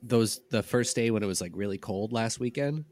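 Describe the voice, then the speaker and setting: Young male co-host, lowish voice, a bit stuffy, dry humour in casual chat. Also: moderate pace, a little mellow, a little hiss or hum. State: slightly congested. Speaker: podcast co-host.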